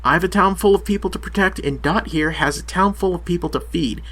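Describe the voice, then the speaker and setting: A man's voice: high-pitched, brisk, fairly bright tone. An actor playing a small-town police chief and father, firm, curt and ready to swear. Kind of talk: audio drama acting.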